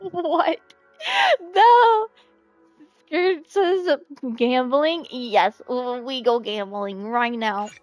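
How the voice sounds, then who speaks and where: A woman with a notably high voice streams a game at an unhurried, slow pace. She talks casually to her chat in a playful, expressive way, with music playing softly behind her. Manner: playful, expressive, pleading and exclaiming. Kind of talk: casual livestream chat over gameplay.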